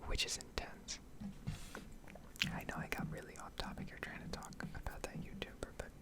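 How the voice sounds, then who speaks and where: A woman with an American accent whispering a candid, rambling ASMR monologue with hesitant phrasing. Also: normal speed. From New England, United States. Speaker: ASMR video creator.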